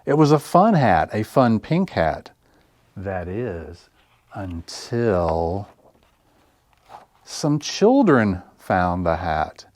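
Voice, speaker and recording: A man hosting a story time for young children, reading aloud with deliberate, simple phrasing. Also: deep voice, sing-song, darkish tone, no room sound. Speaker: library story time host.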